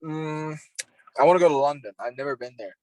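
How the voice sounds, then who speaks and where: A man's voice: on the high side, fairly quick, a little mellow, no echo, poor audio. A young man from Finland with a Finnish accent, chatting casually as a podcast guest, laid-back and agreeable, with lots of "you know.". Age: young adult. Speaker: podcast guest, hockey prospect. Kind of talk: casual interview chat. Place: home in Finland.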